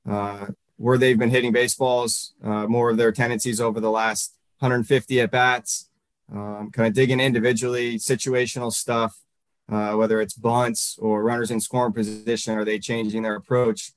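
A man in his thirties from Northern Virginia, a baseball coach answering interview questions in a halting way, full of fillers and restarts. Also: mid-range voice, little pitch movement, no room sound.

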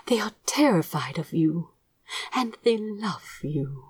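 A woman voicing a gentle, fussy, ancient male angel bookseller in an audiobook, speaking tenderly and softly, often close to a whisper. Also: on the low side, animated, takes it slowly, husky, heavily acted. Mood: tender, pleading. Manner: tender, soft, often dropping into near-whisper. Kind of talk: audiobook character dialogue.

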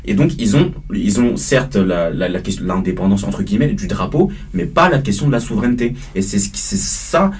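A man's voice: fairly low pitch, lively intonation, fairly quick, few pauses, darkish tone, husky, distant mic. A man in his twenties from the Paris area, a student guest who speaks hesitantly with many fillers and restarts. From Paris region. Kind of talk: debate discussion.

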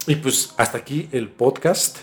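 A man with a Mexican accent hosting a casual podcast, telling stories informally and haltingly with repeated words. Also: mid-range voice. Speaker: podcast host. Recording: music under the voice. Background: background music.

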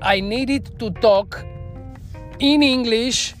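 A woman's voice: a little high, very slow, soft background music. A woman with a Romanian accent, a native Romanian speaker talking in English, telling a candid, self-reflective story as a podcast guest. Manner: earnest, self-reflective, non-native phrasing. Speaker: bar trainer, podcast guest.

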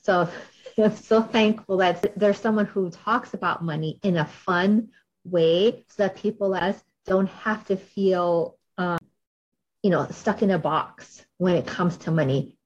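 A woman's voice: on the low side, a little slow, darkish tone, slightly rough. A woman hosting a podcast, chatty and bubbly, talking with plenty of fillers. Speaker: podcast host. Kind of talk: podcast interview. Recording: dull lo-fi audio.

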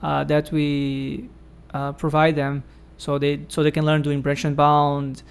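A man's voice: fairly high pitch, monotone, unhurried, gravelly, clean tone. A man hosting a casual academic conversation, explaining his points carefully with frequent fillers. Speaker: host and academic supervisor.

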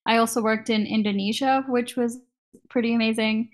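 A woman's voice: fairly high pitch, steady tone, normal speed. A woman in her thirties from Kenya, chatting casually as an interview guest and laughing easily.

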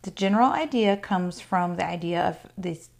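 A woman's voice: fairly low pitch, normal speed, vocal fry, a little mellow. A woman hosting her own podcast, talking casually and chattily about folklore with fillers and asides. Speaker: podcast host. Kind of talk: casual solo podcast talk.

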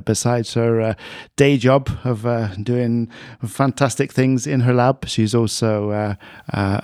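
A man's voice: lowish voice, darkish tone, dry recording. A man from the UK hosting a podcast, upbeat with frequent hesitations, in a studio. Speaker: podcast host.

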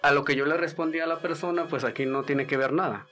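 A man's voice: fairly high pitch, steady tone, fast talker, fairly bright tone. Male interviewee, native Wixárika speaker, music behind. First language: Wixárika (Huichol). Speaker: interviewed activist. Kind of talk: conversational interview answers.

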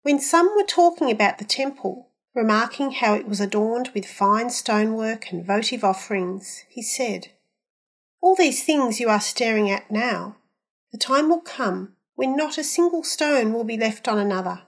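A woman reading scripture aloud in a measured, deliberate, solemn way. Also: fairly high pitch, animated. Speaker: scripture reader.

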